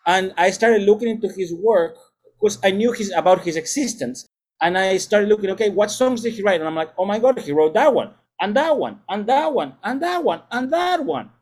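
Middle-aged male music teacher, slightly high voice, measured and explanatory, restarts often. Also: animated, a little mellow. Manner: thoughtful, lecturing, with frequent restarts. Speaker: music educator and salsa band leader. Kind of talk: explanatory interview answers.

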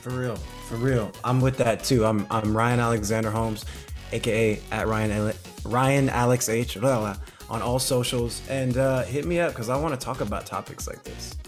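A man's voice: mid-range voice, steady tone, normal speed. Earnest man with music behind. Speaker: podcast co-host. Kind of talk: casual podcast chat.

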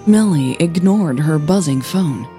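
A woman narrating scripted fiction as a podcast host, in a measured, deliberate way. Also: sits low, expressive, dark tone, soft background music.

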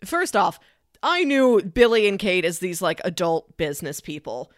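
A woman's voice: on the low side, big pitch swings, normal speed, no echo. Chatty woman podcaster, dry close sound. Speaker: podcast co-host. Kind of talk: casual chat about films.

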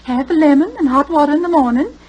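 An actress playing a prickly, pious small-town woman in an old radio drama, with a husky voice and querulous folksy speech. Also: sits high, expressive, fast, darkish tone, poor audio. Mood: complaining.

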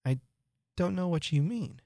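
A woman's voice: on the low side, animated, a little slow, dark tone, dry recording, clean studio sound, voice pushed up. A woman doing a prim, curious young girl. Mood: earnest. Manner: earnest, wondering.

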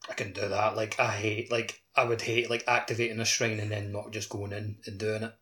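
A man's voice: fairly low pitch, little pitch movement, a little fast, sharp tone. A man chatting casually about a video game as a podcast host.

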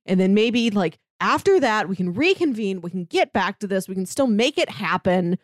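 A woman talks casually as a podcast co-host. Her pitch is mid to high and moves around in a lively way, and the sound is close and dry. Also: normal speed, no room sound. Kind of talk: casual chat reviewing a TV drama.

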